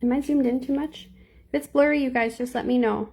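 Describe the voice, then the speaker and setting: A young woman with a slightly high, squeaky voice talks viewers through a nail art design. Her tone is chatty and friendly, and she answers comments as she goes. The voice has some gravel and a soft, husky edge. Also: steady tone, brisk. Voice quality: a little squeaky. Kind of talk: live nail art tutorial.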